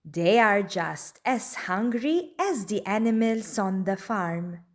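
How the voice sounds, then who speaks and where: Very slow, lively woman storyteller. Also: medium pitch. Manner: deliberate, expressive storytelling for young children. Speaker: children's book reader. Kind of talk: read-aloud storybook narration.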